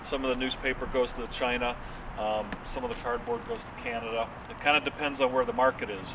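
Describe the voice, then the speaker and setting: A man giving an informal explanatory tour in a matter-of-fact, halting way, as a guide at a working facility. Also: medium pitch, animated. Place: working waste and recycling facility. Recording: distant mic, clear vehicle and machinery noise.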